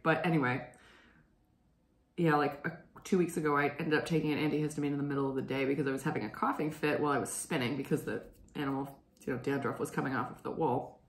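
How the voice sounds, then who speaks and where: A hoarse young woman hosts a knitting show from home, rambling through her chatty stories and clearing her throat. Her low voice has a gravelly edge. The room around her sounds echoey. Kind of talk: casual chat.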